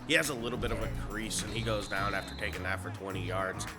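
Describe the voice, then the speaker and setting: A man's voice: lowish voice, fast, loud music behind the voice. Sick, nasal man calling plays. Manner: excitable, rising to shouts on big plays. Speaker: gaming YouTuber. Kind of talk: play-by-play commentary over a college football video game.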